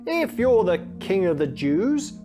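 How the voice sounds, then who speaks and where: A woman's voice: medium pitch, very animated, measured pace, voice pushed up. A woman voicing a jeering man who mocks a prisoner in a children's storybook, exaggerated and taunting. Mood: mocking. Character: jeering men mocking a condemned prisoner. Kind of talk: children's storybook character reading. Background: music.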